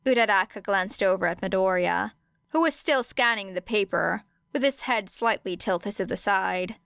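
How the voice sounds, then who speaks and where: A woman's voice: medium pitch, moderate pace, vocal fry, a bit bright, smooth, dry recording, studio quality. A woman reading scripted story narration as an audiobook narrator. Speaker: audiobook narrator of fan fiction.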